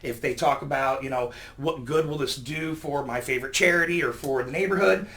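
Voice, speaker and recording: A man's voice: mid-range voice, a little fast, pushed and bright. A man explaining communication tips as a guest expert, in an emphatic, instructive way.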